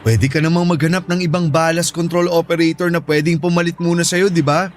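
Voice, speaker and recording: A man's voice: a little high, fairly even intonation, brisk, a little hiss or hum, lightly acted. A man playing a caring, easygoing Filipino shipmate and buddy in an audio drama, encouraging in manner. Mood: concerned.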